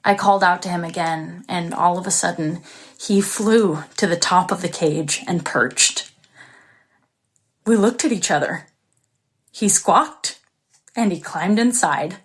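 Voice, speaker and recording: An actress playing a warm-hearted, excitable young woman who tells her own story in an expressive, confiding dramatic monologue. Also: fairly low pitch, measured pace, long pauses, husky. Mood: tense then elated. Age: young adult. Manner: expressive, confiding, breaks into small laughs. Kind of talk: dramatic first-person monologue.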